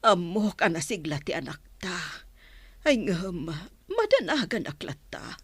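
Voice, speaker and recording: A woman's voice: lowish voice, huge pitch swings, a little slow, breathy edge, no echo, exaggerated voice. A woman playing a worried, protective mother of a grown son in a radio drama, fretful in manner. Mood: worried, reproachful.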